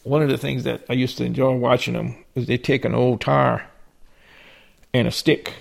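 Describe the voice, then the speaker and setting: A man's voice: mid-range voice, level tone, moderate pace, gravelly. An older man with a Cajun accent, telling folksy stories from his life with frequent restarts and chuckles. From southern Louisiana. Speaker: interviewee. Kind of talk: personal storytelling.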